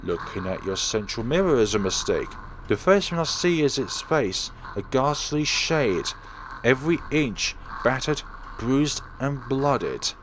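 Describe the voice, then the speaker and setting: A man's voice: medium pitch, lively intonation, unhurried, a bit bright, poor audio. A man reading horror visual novel narration aloud dramatically for a let's play, with noise in the recording.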